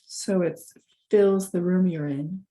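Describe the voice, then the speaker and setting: A woman leading a guided meditation, giving soft instructions in a calm, gentle, unhurried manner with long pauses. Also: lowish voice, low-quality recording.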